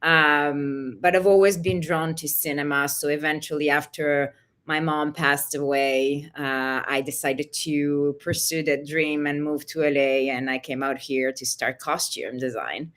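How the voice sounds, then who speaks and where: A woman's voice: sits low, fairly even intonation, unhurried, a bit bright. A middle-aged woman from Italy, a costume designer chatting casually in an interview over a video call, full of "you know" and "like".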